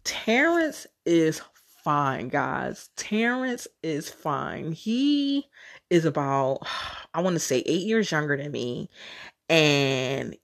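Candid, chatty woman with a lowish voice. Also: very animated, slow, creaky. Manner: chatty, candid, slangy, swears casually. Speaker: podcast host. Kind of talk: casual solo storytelling.

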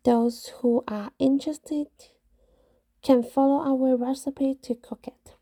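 A woman from Guangdong with a Cantonese accent hosts a podcast, reading a prepared script in a slow, measured way. Her voice is high and very dark and mellow, with a husky, breathy edge. It is recorded close and dry. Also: steady tone, no room sound. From Guangdong, China. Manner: careful, unhurried reading with occasional hesitations. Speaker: podcast host.

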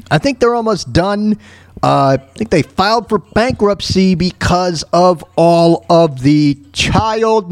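A man's voice: high-pitched, no room sound. A man hosting a talk show with casual, opinionated commentary, often stammering and repeating his phrases as he goes. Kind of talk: casual off-the-cuff radio commentary.

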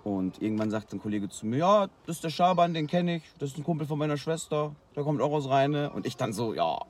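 A man from Germany, a guest freestyle rapper, telling stories in relaxed slangy casual chat, worn out after a long night. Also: fairly high pitch, a little fast. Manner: relaxed, slangy storytelling with fillers.

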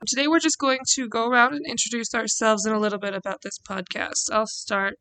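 A woman's voice: a little high, steady tone, brisk, sharp tone. A young woman with an American accent, introducing a student podcast in a casual way. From Utah, United States. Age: young adult.

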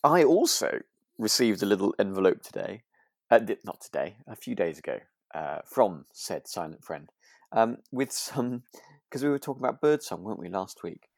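A British man co-hosting a podcast in relaxed, casual chat, with chatty, hesitant phrasing. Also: lowish voice, moderate pace, no echo, studio quality. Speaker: podcast host.

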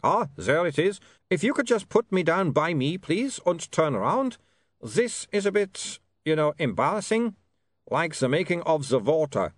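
A man's voice: fairly high pitch, sing-song, moderate pace, no room sound, pitched above the performer's own voice. A man voicing a polite, fussy, long-suffering foreign vampire in stilted, formal phrasing for a story. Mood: polite, embarrassed.

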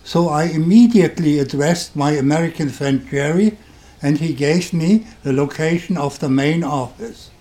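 Slow, dark-voiced German man. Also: a little high. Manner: deliberate, wry, dryly sarcastic. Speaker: podcast host. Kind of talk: first-person storytelling podcast.

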